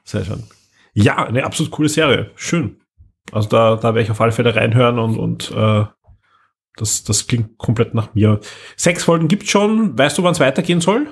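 A man with a slightly husky voice, hosting a casual podcast chat in a hesitant, conversational way. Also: mid-range voice, lively intonation, normal speed. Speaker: podcast host. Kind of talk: casual podcast chat about radio plays.

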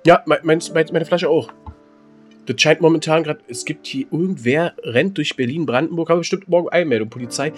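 A man's voice: a little high, fairly quick, faint music behind. A man co-hosting a casual podcast, joking around and breaking into sung snippets. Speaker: podcast co-host. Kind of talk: casual chat.

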